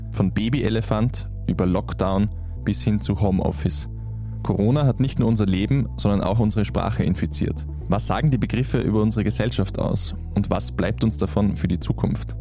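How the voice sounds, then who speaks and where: Low, warm-voiced male podcast host. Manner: measured, scripted delivery. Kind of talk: scripted podcast intro and questions.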